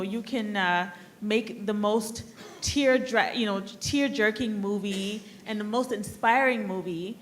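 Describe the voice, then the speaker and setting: A woman speaking informally from the floor at a conference, candid and wry, in a halting, discursive way. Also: medium pitch, a bit bright. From Liberia, grew up in the US. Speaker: audience member, central bank staffer. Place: conference hall, audience floor. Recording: noisy conference room microphone.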